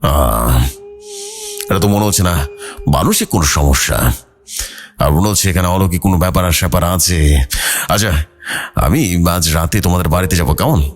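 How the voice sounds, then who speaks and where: A man voicing a grave, respected village priest in a horror story, speaking in a measured, cautious way with sighing hesitations. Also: sits low, faint music behind.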